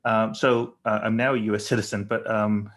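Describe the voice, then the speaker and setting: Man with an English accent, low voice. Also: flat delivery, moderate pace, a bit bright. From Burma, raised in England. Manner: thoughtful, many fillers and restarts. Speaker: panel guest, company founder. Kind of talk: conversational panel talk.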